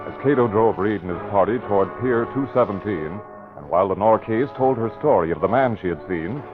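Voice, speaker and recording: A man narrating a radio drama in a measured, dramatic storytelling style. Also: fairly low pitch, animated, loud music behind the voice. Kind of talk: scripted narration. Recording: tinny old radio broadcast.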